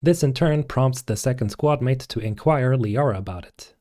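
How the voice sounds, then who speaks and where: Mellow-voiced man narrating a video. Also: mid-range voice, moderate pace. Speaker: video host. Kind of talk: scripted narration.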